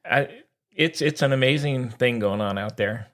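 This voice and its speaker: A man's voice: mid-range voice, moderate pace, a bit bright. An American man co-hosting a sports podcast, chatting casually in a blunt, informal way with mildly crude slang.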